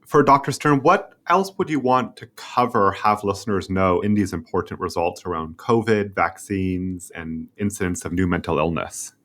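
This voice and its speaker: A man's voice: low voice, moderate pace, few pauses, a bit bright. A man hosting a medical research interview podcast and putting questions to a guest.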